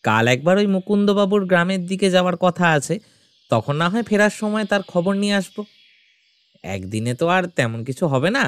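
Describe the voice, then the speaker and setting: A man's voice: high-pitched, big pitch swings, brisk, clean tone, dry recording. An actor playing a deferential village manservant speaking to his master in an audio story. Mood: obliging. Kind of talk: audio story character acting.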